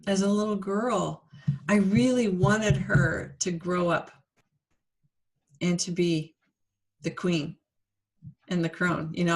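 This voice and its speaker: Earnest woman telling a story on a podcast. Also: fairly low pitch. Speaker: podcast co-host. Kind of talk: casual reflective chat.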